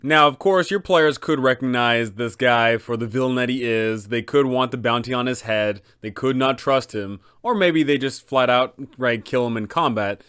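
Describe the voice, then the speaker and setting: A man's voice: mid-range voice, normal speed, hardly any pauses, fairly bright tone, clear, resonant tone. A man hosting an explainer for game masters, giving guiding commentary on role-playing. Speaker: host, tabletop game guide.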